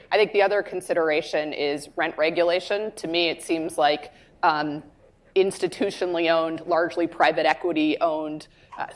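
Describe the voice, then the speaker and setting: Low-voiced woman weighing market risks. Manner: analytical, thinking aloud with "I think". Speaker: panelist. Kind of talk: conference panel discussion. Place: conference room panel.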